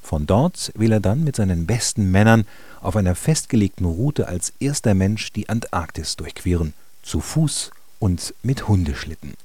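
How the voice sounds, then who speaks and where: A male narrator with a low-pitched voice reads a scripted radio story. His telling is measured, and the sound is dry, with no room around it. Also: lively intonation, warm tone, breathy edge, dry recording. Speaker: narrator and announcer.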